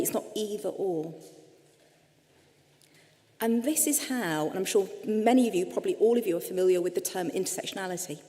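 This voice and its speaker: A woman's voice: mid-range voice, warm tone. A middle-aged woman with an English accent giving an earnest, explanatory presentation as a clinician, speaking directly to her audience. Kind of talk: lecture-style presentation with slides. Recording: long hall reverb.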